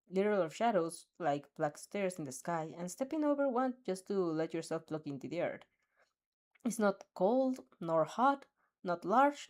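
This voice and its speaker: Young woman reading fanfic expressively. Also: medium pitch. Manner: expressive, giggles at her own slips. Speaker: fanfic reader. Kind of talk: second-person audio fanfic reading.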